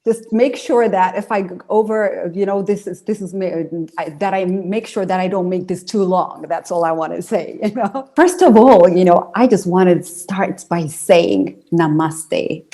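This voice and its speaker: A woman's voice: on the low side, unhurried, few pauses, warm tone. Chatty woman on a video call. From Ethiopia. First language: Amharic. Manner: talkative, laughs easily, restarts sentences. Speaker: guest, singer and speaker. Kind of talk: casual, chatty interview answers.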